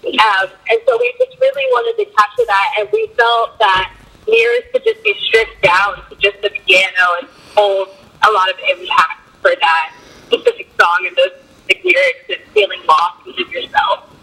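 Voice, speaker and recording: Young woman in a band, bit hoarse from a cold, bright voice, says um and like a lot. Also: fairly high pitch, wildly swooping pitch, normal speed, poor audio. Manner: loose, filler-heavy talk with frequent likes and ums. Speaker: band member. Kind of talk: casual interview answers.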